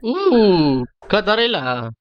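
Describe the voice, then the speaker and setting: A man's voice: sits high, huge pitch swings, slow, smooth, highs cut off. A man giving casual gameplay commentary on a livestream, murmuring short reactions as he thinks aloud.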